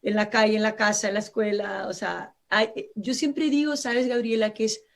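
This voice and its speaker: A woman's voice: medium pitch, steady tone, moderate pace, vocal fry. Earnest Brazilian woman explaining on radio. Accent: Brazilian Portuguese. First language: Portuguese. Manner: earnest, explanatory, with frequent restarts and fillers. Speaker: guest expert. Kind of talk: live radio interview.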